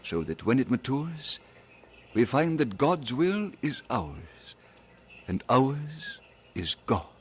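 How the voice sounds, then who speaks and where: An actor playing an earnest young Scottish minister, speaking gently and haltingly in a radio drama. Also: male voice, medium pitch, sing-song. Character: earnest Scottish young male preacher in love. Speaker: minister proposing marriage. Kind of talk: 1950s radio drama acting.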